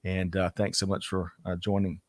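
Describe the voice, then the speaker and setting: A man's voice: sits low, completely flat delivery, moderate pace, dry recording, clean studio sound. A man hosting a casual business talk show, introducing guests with upbeat energy and frequent laughter. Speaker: podcast host.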